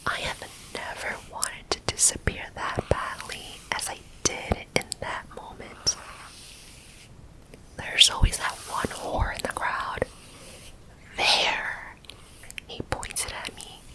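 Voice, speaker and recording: A woman telling a story in a soft, unvoiced ASMR whisper, close to the microphone. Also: slow pace, low-quality recording.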